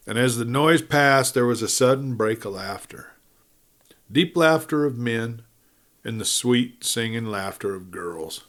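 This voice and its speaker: A man narrating a western novel as an audiobook, in a measured, deliberate storytelling style with dramatic pauses. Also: medium pitch.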